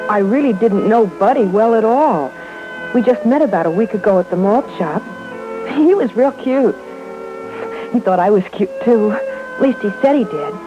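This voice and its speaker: A woman playing a naive, gushing teenage girl who tells her story haltingly, in the style of old radio drama. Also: mid-range voice, expressive, lightly acted. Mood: rueful, hesitant. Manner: hesitant, breaking off and restarting. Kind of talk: 1960s radio drama acting. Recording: dull vintage radio, music behind.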